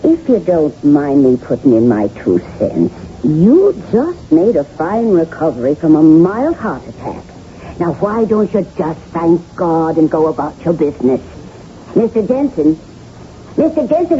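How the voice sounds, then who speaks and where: Casting: a plain-spoken, kindly meaning hospital nurse in radio drama, with widely swinging pitch. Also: female voice, big pitch swings, unhurried. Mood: frank, concerned. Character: forthright, well-meaning female hospital nurse. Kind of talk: 1980s radio drama acting. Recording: dull, hissy old radio recording.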